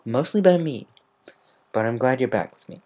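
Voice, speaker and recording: Reader acting out a female cartoon griffin, sardonic, teasing, secretly caring, husky, very animated intonation. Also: medium pitch, moderate pace, voice pushed up. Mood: tender. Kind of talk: dramatic fan fiction reading.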